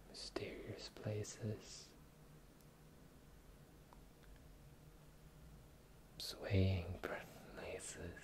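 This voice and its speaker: A sly pirate captain, acted out for a fantasy ASMR roleplay, speaks in soft, breathy whispers. The delivery is very unhurried and theatrical, with a teasing drawl that keeps the listener close. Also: medium pitch. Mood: mysterious, musing.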